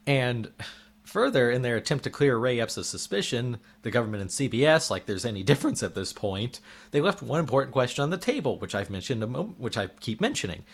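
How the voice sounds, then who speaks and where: A man's voice: mid-range voice, very animated, brisk. A man delivering an indignant opinion monologue as a political commentator, firing off rhetorical questions while losing his voice.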